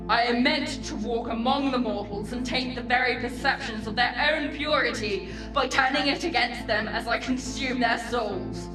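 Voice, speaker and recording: An actress playing a vain, scornful succubus demon in a video game, with theatrical, haughty, sarcastic delivery. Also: female voice, fairly high pitch, reverberant, recorded from a distance, close to an everyday voice, rough recording. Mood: outraged, theatrical. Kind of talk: video game character dialogue.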